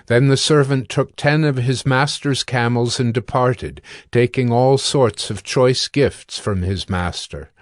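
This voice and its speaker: A man narrating a dramatized scripture reading in a measured, formal, scripted style. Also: mid-range voice, no echo, clean studio sound.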